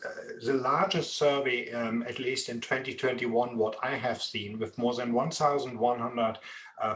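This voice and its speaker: A man's voice: medium pitch, a bit bright. German-accented man, roomy sound. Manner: measured, explanatory, frequent ums. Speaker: interviewee, procurement expert. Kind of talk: expert interview. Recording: roomy echo.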